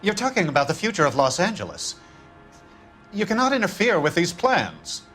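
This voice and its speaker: An actor playing a smug, middle-aged, corrupt insurance vice president in a video game, haughty, wordy and condescending. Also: male voice, sits high, huge pitch swings, normal speed, fairly bright tone, faint music behind, exaggerated voice. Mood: indignant. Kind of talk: dramatic video game acting.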